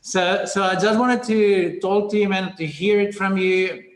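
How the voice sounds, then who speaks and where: A man hosts a live webcam interview from a large room, his voice fairly high and a little roomy. He talks in an upbeat, chummy way, calling his guest brother. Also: fairly even intonation, unhurried. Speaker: host. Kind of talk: casual live video-call interview. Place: indoors, large room with staircase. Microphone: laptop webcam video call.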